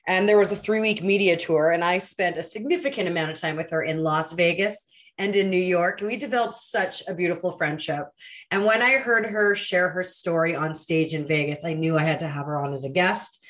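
A woman's voice: on the low side, fairly quick. A woman in her thirties hosting a podcast interview, enthusiastic and rambling, full of fillers. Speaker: podcast host.

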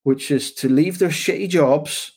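A man's voice: on the high side, a little slow, a little mellow, slightly rough. Rambling Scottish man, casual talk. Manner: rambling, repeats "do you know what I mean". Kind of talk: casual conversation.

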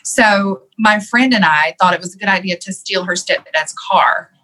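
A woman's voice: medium pitch, moderate pace, pushed and bright, poor audio. A woman guest in an interview, telling her life story in a candid, reflective way with many "um"s and "you know"s. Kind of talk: candid personal storytelling in conversation.

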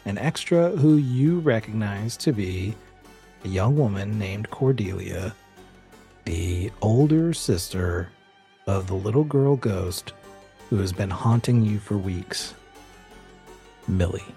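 Measured male game master over music. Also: fairly low pitch, expressive, mellow. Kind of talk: narrating a tabletop horror audio drama. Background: music bed.

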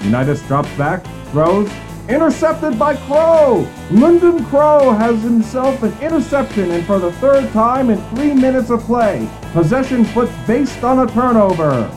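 Male 1950s football commentator, acted, high voice, brisk, dramatic, declamatory play-by-play, old-time broadcast, music behind. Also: exaggerated voice, rough recording. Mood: excited. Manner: declamatory, building excitement on plays.

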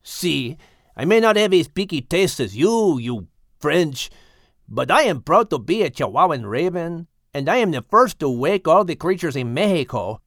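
A woman's voice: deep voice, very animated, normal speed, no room sound, clean studio sound, pitched below the performer's own voice, full character voice. A woman voicing a proud, touchy male raven with a mock Spanish-flavored way of talking, in a storybook reading. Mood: indignant.

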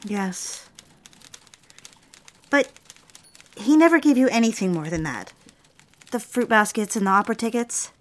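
A woman playing an earnest, troubled young secretary in a period office drama, speaking politely and with measured care. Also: a little high, expressive. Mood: probing, subdued. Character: troubled young secretary, earnest, wounded but standing her ground.

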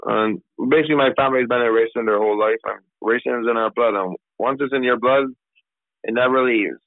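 A man talks quickly and casually, often restarting his sentences. His voice comes over a thin, tinny phone line with a dry sound. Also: mid-range voice, dry recording. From Barbados. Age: 30s. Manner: fast, casual, with many restarts and fillers. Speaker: racehorse trainer. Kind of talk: radio interview answers.

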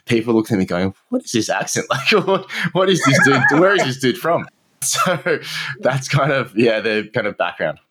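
A man's voice: mid-range voice, very animated, normal speed, bright, forceful tone, breathy edge. A man in his thirties with an Australian accent, a podcast guest telling his story in a candid, self-deprecating way and laughing often. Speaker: guest, marketing entrepreneur. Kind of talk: casual podcast interview.

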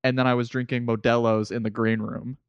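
A man's voice: mid-range voice, flat delivery, a little fast, smooth, no room sound, highs cut off. A man in his early twenties, a comedian guest telling rambling stories with lots of restarts in a casual podcast chat. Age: 20s. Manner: eager, rambling storyteller with many restarts.

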